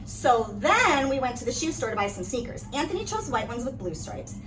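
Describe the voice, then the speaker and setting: A woman reading a picture book aloud in an animated way, with dramatic emphasis on key phrases. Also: sits high, a little fast, over music. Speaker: read-aloud reader. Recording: noisy, distant microphone.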